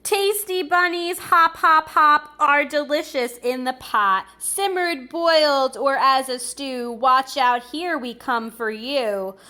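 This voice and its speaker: A woman's voice: sits high, takes it slowly, few pauses, bright, forceful tone, full character voice. A woman voicing hungry, savage storybook foxes in a rhythmic, taunting chant. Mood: menacing.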